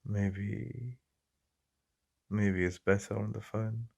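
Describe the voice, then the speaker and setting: Deep gravelly English man, soft-spoken. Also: fairly even intonation, lo-fi sound. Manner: soft-spoken, intimate, halting and heartfelt. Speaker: ASMR roleplay host. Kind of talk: boyfriend ASMR roleplay.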